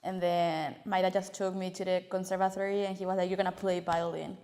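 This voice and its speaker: A woman's voice: lowish voice, little pitch movement, brisk, mellow. An eighteen-year-old woman from Paraguay with a Spanish-speaking accent, casually telling her story in an interview. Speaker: interviewee, violinist. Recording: echoey hall reverb.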